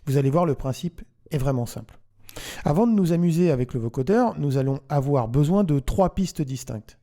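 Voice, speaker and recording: A man narrating a software tutorial, explaining things step by step as the host. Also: a little high, expressive, moderate pace.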